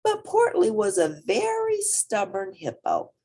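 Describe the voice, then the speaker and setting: A woman reading a children's story aloud in an expressive, dramatic, emphatic way. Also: mid-range voice, unhurried, husky. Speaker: storybook reader.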